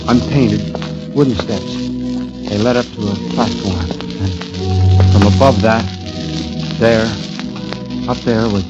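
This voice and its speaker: An actor playing a grave, haunted condemned man who tells his own story haltingly, in the style of 1940s radio drama. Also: lowish voice. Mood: tense, haunted. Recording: dull vintage radio recording, loud music.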